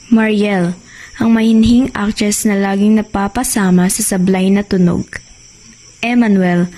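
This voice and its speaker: A woman's voice: mid-range voice, mellow, low-quality recording. A woman with a Filipino accent reading scripted radio drama narration.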